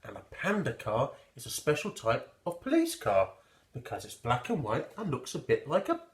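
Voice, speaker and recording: A man's voice: mid-range voice, huge pitch swings, normal speed, roomy sound. A man reading a children's story expressively and theatrically, explaining things simply for small children. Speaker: storybook reader.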